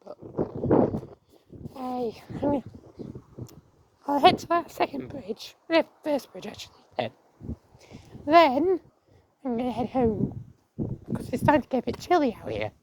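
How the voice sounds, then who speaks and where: A woman with a croaky voice walking outdoors, chatting casually to her viewers and reading signs aloud with many restarts. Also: high-pitched, big pitch swings, noisy background. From England. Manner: rambling, restarts words, reads signs aloud haltingly. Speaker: vlogger. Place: outdoors on a viaduct.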